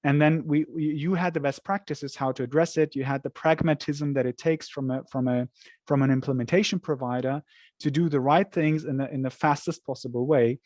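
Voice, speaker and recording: A man speaking as a software company representative in a webinar interview, explaining in a measured way and sometimes repeating words as he looks for phrasing. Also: on the high side, fairly even intonation, no room sound.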